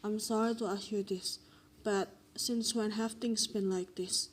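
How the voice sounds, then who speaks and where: A woman playing a formal, apologetic psychiatrist in an amateur radio drama, with a measured delivery. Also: mid-range voice, fairly even intonation, close to an everyday voice. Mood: grave.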